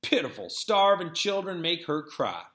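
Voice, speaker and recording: A man's voice: sits high, very animated, unhurried, fairly bright tone, reverberant, pitched above the performer's own voice, full character voice. A man voicing a huge, easygoing, teasing older brother, a rural young man, in a storybook reading. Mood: bossy, casual. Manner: casual, teasing.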